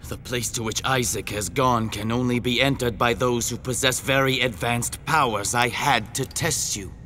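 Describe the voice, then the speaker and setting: An actor playing a stern, proud vampire hunter who speaks in commanding, formal, archaic phrases for a video game. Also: male voice, mid-range voice, steady tone, normal speed, pushed and bright, a little hiss or hum, lightly acted. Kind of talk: dramatic video game acting. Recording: music behind the voice. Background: music.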